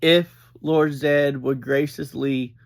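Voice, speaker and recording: A man giving an informal, unscripted recap as a reviewer, speaking in halting, deliberate phrases with frequent breaks. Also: a little high, steady tone, gravelly. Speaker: reviewer and host of an episode review series.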